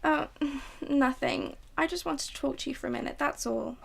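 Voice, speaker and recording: An uneasy housewife and mother who stammers and hides her worry behind false cheer, as a young woman plays her. Her voice sits high and carries some vocal fry. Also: moderate pace. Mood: nervous.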